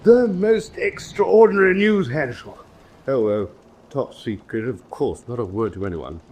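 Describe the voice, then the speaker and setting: An actor playing a pompous, boastful British naval commander in a radio drama, with an emphatic, clipped officer manner. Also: male voice, mid-range voice, animated, measured pace, darkish tone, natural voice. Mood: self-important, excited.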